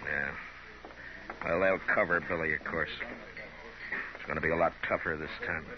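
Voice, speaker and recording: Old radio Western marshal: deep, gruff, resolute, on a muffled, noisy recording. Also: male voice, steady tone, moderate pace. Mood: grim, resolute. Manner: gruff, terse, sardonic. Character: grim, resolute frontier town marshal, weary and stern. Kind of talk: 1950s radio Western acting.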